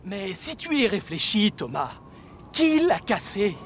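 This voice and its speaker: A man's voice: high voice, big pitch swings, a little slow, slight background noise. A man playing a timid, imaginative young train engine, earnest and wide-eyed, in a children's cartoon dub. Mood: nervous. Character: timid, imaginative, easily frightened young male talking engine. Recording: music behind the voice.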